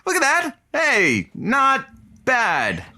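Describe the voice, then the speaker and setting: An actor plays a scruffy, flirtatious man at a small radio station in a comedy drama. His intonation moves freely and lands wry, sardonic lines slyly, with music clearly behind him. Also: very high-pitched, huge pitch swings, drawn-out words, bright, forceful tone, full character voice. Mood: amused. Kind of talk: audio comedy drama acting.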